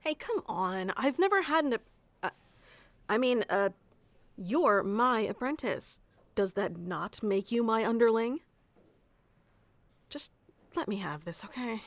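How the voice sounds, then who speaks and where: A woman's voice: on the high side, lively intonation, measured pace, long pauses, no room sound. A woman playing a haughty, easily flustered tsundere young wizard mentor in an ASMR roleplay, bossy and teasing, stammering when flustered. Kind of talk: ASMR roleplay acting addressed to the listener.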